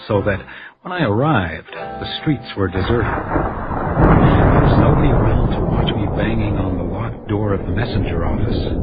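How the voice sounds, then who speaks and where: An actor playing a wry, quietly gallant house detective who narrates a noir radio drama in a dry, understated way. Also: male voice, lowish voice, lightly acted. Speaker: lead character and narrator. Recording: dull lo-fi audio, music behind.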